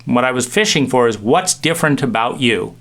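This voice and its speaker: A middle-aged man giving a reflective talk, musing with pauses and restarts as he tells stories. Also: mid-range voice, lively intonation, fairly bright tone. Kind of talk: reflective monologue with anecdotes.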